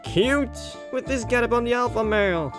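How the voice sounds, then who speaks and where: A woman's voice: on the high side, expressive, moderate pace, voice pushed up, close to an everyday voice. A woman voicing a cocky young boy who tries hard to act cool, boastful and dramatic, in a visual novel. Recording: loud music behind the voice.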